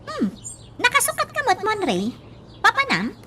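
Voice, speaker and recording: Courted young woman, coy and snooty, female actor, radio romance, high voice, distant mic. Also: wildly swooping pitch, normal speed, bright, forceful tone, a little hiss or hum, exaggerated voice. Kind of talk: radio drama acting.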